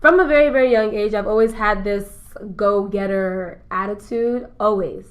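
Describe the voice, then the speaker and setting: A woman's voice: mid-range voice, normal speed. A nineteen-year-old woman guest chatting casually on a podcast, giggly and upbeat as she tells her story. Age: young adult. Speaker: guest, cosmetics founder.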